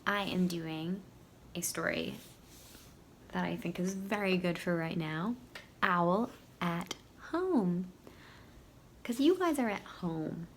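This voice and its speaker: A woman's voice: fairly low pitch, expressive, takes it slowly, long pauses, a little hiss or hum. A woman reading a storybook aloud to young children in a teacherly way, putting questions to her listeners.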